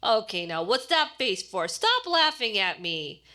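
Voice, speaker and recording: A woman reads as a bossy, fond old grandmother in a short story. The voice is bright and forceful, with a feisty edge. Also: medium pitch, very animated, measured pace. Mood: mock scolding. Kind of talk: short story character dialogue.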